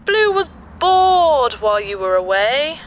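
High-pitched, childlike ship computer. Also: female voice, big pitch swings, unhurried, a little hiss or hum. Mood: playful, whiny. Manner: speaks of itself in the third person. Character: childlike playful spaceship computer, sulky and mischievous. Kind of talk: sci-fi audio drama acting.

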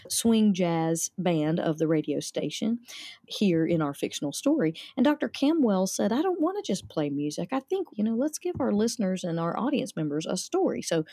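A woman's voice: mid-range voice, big pitch swings, normal speed, warm tone, dry recording, clean studio sound. A woman theater director answering interview questions about her holiday show, enthusiastic and promotional.

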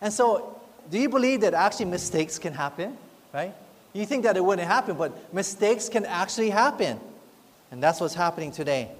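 High-voiced man preaching in a hall. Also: animated, moderate pace. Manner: asks the congregation for agreement often. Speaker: pastor. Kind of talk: sermon. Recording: long hall reverb.

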